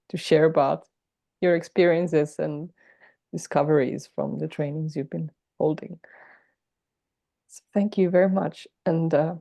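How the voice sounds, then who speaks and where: A woman interviewer speaking over a video call with a gentle, appreciative manner. Her voice is mellow and slightly low, with a dry, close sound. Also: vocal fry, dry recording. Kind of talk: interview wrap-up.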